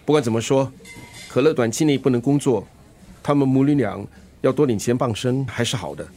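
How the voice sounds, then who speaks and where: A man's voice: medium pitch, brisk, mellow, lightly acted. An actor playing a breezy, caring middle-aged father and musician in a radio drama, flippant, with a Singaporean accent. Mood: earnest. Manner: flippant, bantering. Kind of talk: Mandarin radio drama acting.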